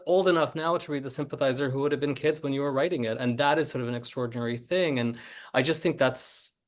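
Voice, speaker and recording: A man, a writer guest in a casual discussion over a video call, speaking earnestly and fluently with plenty of "you know". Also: a little high.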